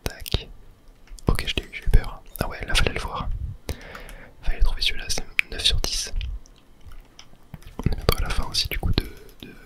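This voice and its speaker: A man whispering breathy, murmured running commentary as he plays a video game for ASMR viewers. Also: normal speed, long pauses.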